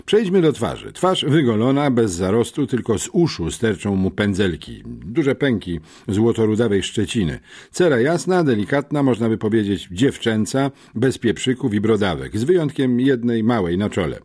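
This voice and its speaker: A man's voice: lowish voice, big pitch swings, moderate pace, few pauses, a little mellow, pitched above the performer's own voice. A man voicing an earnest, talkative schoolboy amateur detective in an audiobook, meticulous and matter-of-fact. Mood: pedantic, matter-of-fact. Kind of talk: audiobook character reading.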